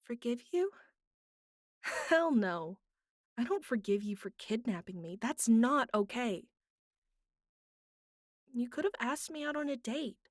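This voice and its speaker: A woman acts out a young female captive who is bratty on top and fragile underneath. Her high voice moves very slowly and haltingly, slipping between pouting and soft pleading. It is an intimate audio drama, recorded close and dry. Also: animated, no echo. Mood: teasing, bratty. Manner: halting, pleading, pouty, soft breathy asides. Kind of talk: intimate audio roleplay addressed to the listener.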